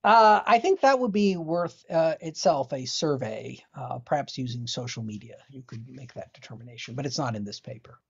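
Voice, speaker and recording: A woman reading a research paper aloud as a dramatic reader, halting and sometimes losing her place. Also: rumbling low, expressive, creaky, a little mellow, slightly rough. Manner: halting, loses place, repeats phrases. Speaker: dramatic reader at a comedy science session. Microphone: video call. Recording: dull, lo-fi video call audio.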